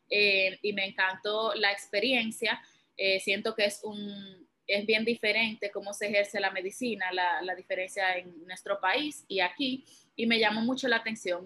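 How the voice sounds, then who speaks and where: A young woman with a Dominican Spanish accent talks as an interview guest, walking through her points one by one with plenty of fillers. Her voice is bright and forward, and she keeps an easy, informal tone. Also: mid-range voice. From Dominican Republic. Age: young adult. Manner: relaxed, informal, explains step by step with many fillers. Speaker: guest, medical resident. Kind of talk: interview answers.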